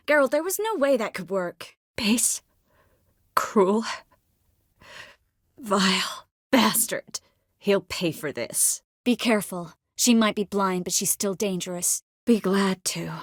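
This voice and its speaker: An actress playing a determined, warm young sorceress in a fantasy video game, speaking earnestly and expressively with a gently teasing manner. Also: female voice, medium pitch, dry recording, studio quality. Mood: concerned, questioning, then bitter. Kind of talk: video game dialogue acting.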